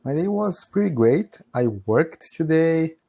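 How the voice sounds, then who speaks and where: A Brazilian man in his thirties speaks English with a Brazilian Portuguese accent over a video call. His voice is mellow and relaxed. He fills his talk with "you know" and laughs often. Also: fairly high pitch, a little slow. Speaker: English learner. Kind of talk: casual conversation practice. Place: small room at home, indoors.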